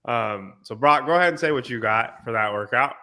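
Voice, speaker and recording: A man's voice: mid-range voice, fairly quick, bright, forceful tone. A man hosting a casual podcast chat, slightly nasal and congested from a cold, teasing and coaching his friends. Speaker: podcast host.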